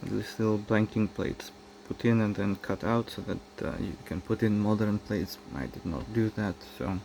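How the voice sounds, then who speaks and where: A man giving casual, low-key narration as he shows a repair, speaking haltingly. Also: creaky, darkish tone, slight background noise, poor audio. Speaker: hobbyist radio restorer.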